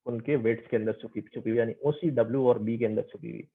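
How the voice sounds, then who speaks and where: A man's voice: mid-range voice, steady tone, quick pace, breathy edge, phone-quality sound. A man giving an explanatory lecture as a teacher, conversational, mixing Hindi-Urdu with English and checking understanding with questions.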